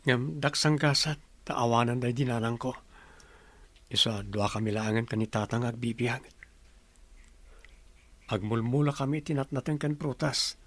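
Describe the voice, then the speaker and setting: Young man, played by a male actor, earnest, respectful, devoted boyfriend, radio drama, medium pitch. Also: a little slow, pauses often, lightly acted. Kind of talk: Ilocano radio drama acting.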